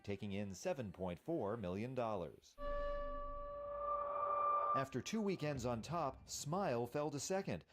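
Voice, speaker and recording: Lively-voiced male entertainment reporter. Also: mid-range voice, heavy background noise. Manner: lively, expressive reporter delivery. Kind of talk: scripted news report.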